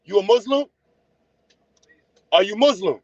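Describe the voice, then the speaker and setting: Blunt New man, high voice. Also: animated, unhurried, no echo. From United States. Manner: blunt, teasing, talks straight to viewers. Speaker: livestream host. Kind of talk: casual livestream chat. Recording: dull, lo-fi sound.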